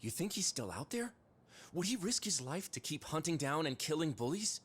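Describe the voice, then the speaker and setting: A man's voice: a little high, very animated, normal speed, slightly rough. An actor playing a businesslike male subordinate in a video game, giving reports in a formal, matter-of-fact way. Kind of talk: English video game dub, cutscene acting.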